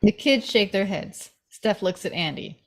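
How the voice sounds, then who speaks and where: A woman's voice: medium pitch, animated, measured pace. Female narrator for a table read: mid-range voice, reading scene directions.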